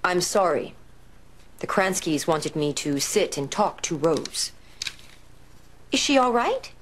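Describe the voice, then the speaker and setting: A woman with a low voice as a 1930s radio serial writer in a period drama. She is strong-willed and principled, with a firm, dry delivery that puts weight on her words. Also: very animated, takes it slowly, slight background noise. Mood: dictating, absorbed. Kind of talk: period television drama acting.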